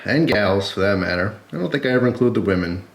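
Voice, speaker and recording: A man's voice: lowish voice, animated, fast, creaky. A man with a stuffy, head-cold voice chatting casually and informally to camera as the host of a gear review vlog, rambling along in a conversational way. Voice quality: congested, stuffy-headed. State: sick with a cold and flu. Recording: echoey, roomy sound.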